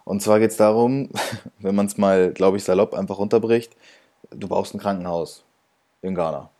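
A man's voice: lowish voice, fairly even intonation, moderate pace, warm tone. A man hosting a podcast, chatting casually, laughing often and restarting his sentences. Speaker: podcast host. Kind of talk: casual interview chat.

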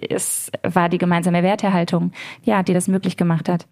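A woman's voice: fairly low pitch, little pitch movement, a little fast, darkish tone. A young woman giving reflective, explanatory answers as a guest in a conversational interview. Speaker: interview guest, political educator.

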